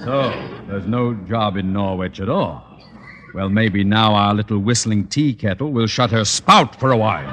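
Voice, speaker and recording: An actor in an old-time radio comedy playing a pompous, short-tempered tyrant of a boss, mock-polite and gloating. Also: male voice, lowish voice, moderate pace, noisy background, natural voice. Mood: self-soothing. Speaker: supporting actor in a radio sitcom. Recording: reverberant vintage radio stage recording.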